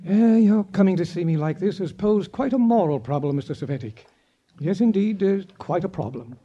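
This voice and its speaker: A man's voice: high-pitched, lively intonation, normal speed, dark tone, highs cut off. An actor playing a hesitant, fussily formal old male professor in a 1950s radio drama. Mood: hesitant, troubled. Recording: vintage 1950s radio recording.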